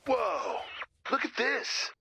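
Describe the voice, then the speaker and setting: A man playing a laid-back but excitable tech sidekick in a video game, husky, casual and inquisitive. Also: very high voice, very slow, no echo, heavily acted. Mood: excited, astonished. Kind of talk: scripted video game dialogue.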